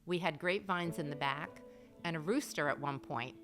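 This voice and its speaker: Low-voiced woman recalling family life. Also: moderate pace, a bit bright, faint music behind. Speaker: family member, interviewee. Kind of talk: recollection in an interview.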